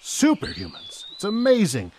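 A smug, excitable schemer played by a man talks with swooping, lively pitch in an audio drama. He sounds pretentious, with faint birdsong around him. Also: normal speed, slight background noise. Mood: excited. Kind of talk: outdoor scene in audio drama. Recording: faint outdoor birdsong ambience.

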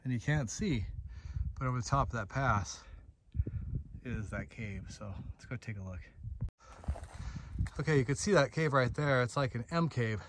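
A man in his forties talks to a handheld camera as he climbs a rocky desert mountainside, tired and a little winded. He has a medium-pitched voice and a loose, breezy manner, full of small exclamations. Faint wind noise sits behind him. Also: moderate pace, pauses often. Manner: breezy, talks to himself while hiking, exclamations. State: tired, a bit out of breath from climbing. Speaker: desert explorer vlogger. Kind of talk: casual hiking vlog narration. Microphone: handheld phone or action camera.

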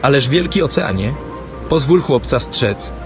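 A man's voice: mid-range voice, expressive, unhurried, over music, lightly acted. A man playing a brave, kind dolphin, pleading earnestly in verse in an audio drama.

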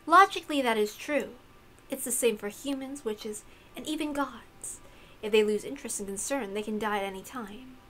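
A woman voicing a solemn, knowing witch in a visual novel, measured and didactic. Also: fairly high pitch, sharp tone, slight background noise. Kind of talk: acted visual novel dialogue.

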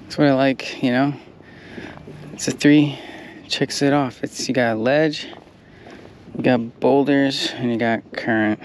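A man's voice: mid-range voice, unhurried, long pauses. A young man fishing from a kayak, casually talking through his plan as he fishes, recorded on an action camera out on the water. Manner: relaxed, thinking aloud while fishing. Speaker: kayak angler. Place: kayak on open water outdoors. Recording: windy outdoor camera audio. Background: wind and water.

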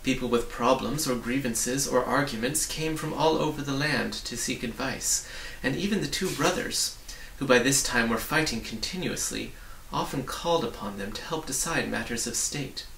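A man in his forties narrates a storybook with measured expression. His voice is bright with a creaky edge, and he sounds a bit away from the mic in a roomy study. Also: mid-range voice. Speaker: reader. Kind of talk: storybook narration, read aloud. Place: small book-lined home study, indoors. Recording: roomy, distant mic with faint noise.

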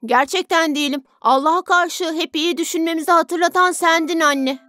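A woman's voice: extremely high pitch, normal speed, pushed and bright, no room sound, clean studio sound. A woman voicing an earnest, polite, devout young boy in a children's cartoon dub. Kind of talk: dubbed children's cartoon acting.

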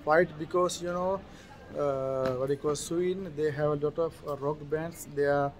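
A man speaking halting non-native English, searching for words as he co-hosts a casual vlog. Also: a little high, fairly even intonation. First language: not English. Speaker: vlog co-host.

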